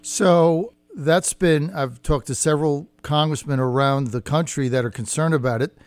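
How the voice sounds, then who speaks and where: A man's voice: on the high side, normal speed, clean tone, no room sound. A man hosting a political talk radio show, plain-spoken and opinionated, talking in short punchy sentences. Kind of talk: conversational political radio interview.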